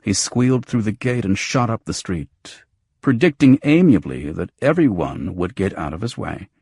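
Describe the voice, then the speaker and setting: A man's voice: on the low side, very animated, moderate pace, darkish tone, husky, dry recording, studio quality. A man reading scripted first-person noir fiction as an audiobook narrator.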